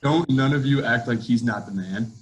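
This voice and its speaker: A man playing a nervous, bossy high school boy in an amateur script reading. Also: normal speed, close to an everyday voice, low-quality recording. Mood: insistent. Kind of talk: amateur table read of a movie script.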